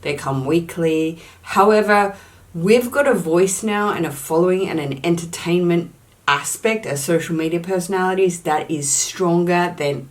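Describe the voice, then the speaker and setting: Woman, lowish voice, emphatic, repeats herself for stress, casual vlog talk. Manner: uses "allegedly" often as a hedge. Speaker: YouTuber.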